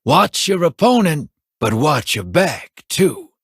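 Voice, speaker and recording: A seasoned, streetwise veteran in a sci-fi game, teasing and unhurried, his husky voice swinging up and down. The line is recorded dry and close, with no room around it. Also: on the high side, big pitch swings, dry recording, heavily acted. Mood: wry. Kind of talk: video game dialogue.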